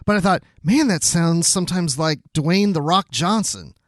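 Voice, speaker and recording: A man's voice: fairly high pitch, lively intonation, moderate pace, no echo, clean studio sound. A man co-hosting a podcast in casual chat, with a playful, joking manner. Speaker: podcast co-host.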